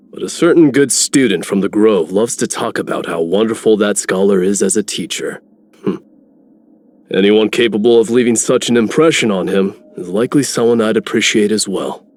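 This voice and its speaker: A man's voice: fairly low pitch, normal speed, darkish tone, close to an everyday voice. A man voicing a proud, composed warrior in a video game, formal and assured. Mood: appraising, assured. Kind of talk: video game character voice lines.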